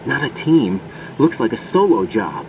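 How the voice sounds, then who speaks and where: A man's voice: mid-range voice, big pitch swings, unhurried, darkish tone, far from the mic, a little hiss or hum, low-quality recording. A man playing an earnest young special-forces agent in a video game, reporting tersely and asking questions of his commander. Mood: intrigued. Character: young male special-forces operative, earnest rookie infiltrator.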